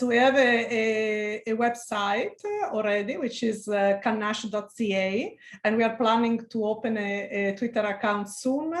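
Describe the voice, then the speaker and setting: Woman, Italian accent, middle-aged, earnest and halting, roomy echo. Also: mid-range voice. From Italy. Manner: earnest, many hesitant restarts and "uh" fillers. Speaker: liver researcher, interview guest. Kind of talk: video call interview.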